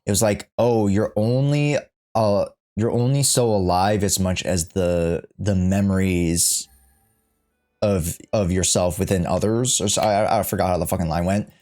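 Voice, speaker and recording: A man's voice: low-pitched, fairly even intonation, moderate pace, a little mellow. A man doing casual live-stream commentary on a game, rambling and reading the dialogue aloud as he goes. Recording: game music behind the voice. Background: game music and dialogue.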